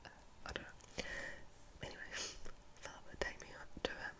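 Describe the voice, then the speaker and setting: A woman reads a children's storybook in a breathy ASMR whisper, halting now and then to correct herself. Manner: halting reading with slips and self-corrections.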